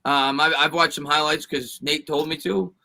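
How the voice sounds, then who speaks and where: Man, sports stream co-host, slightly high pitch, bright tone, casual chat. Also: steady tone, normal speed, vocal fry.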